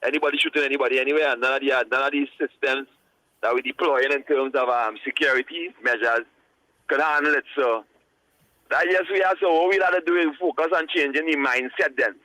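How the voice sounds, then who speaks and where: A man calling in to a radio show, talking earnestly and a bit preachily, with halting restarts. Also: medium pitch, fairly even intonation, pushed and bright, no echo, low-quality recording. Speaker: caller. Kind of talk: casual call-in chat.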